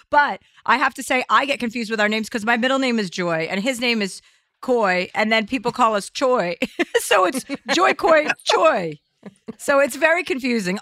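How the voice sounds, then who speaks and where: A woman's voice: mid-range voice, a bit bright, dry recording, clean studio sound. A woman hosting a podcast, chatting casually and joking with her guest from a house on a trip. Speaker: podcast host. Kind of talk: casual chat.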